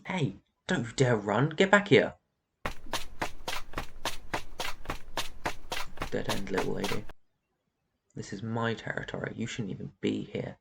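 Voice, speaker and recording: A man's voice: lowish voice, vocal fry, a little hiss or hum. A man playing a brooding, protective vampire in an intense fantasy ASMR roleplay. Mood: angry, commanding. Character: brooding protective male vampire guarding his human flock.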